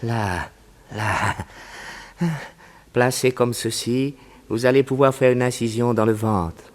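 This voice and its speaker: An actor playing a calm, methodical Chinese crime boss and undertaker in a 1960s French radio drama, giving measured instructions. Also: male voice, medium pitch, lightly acted. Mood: methodical, instructing.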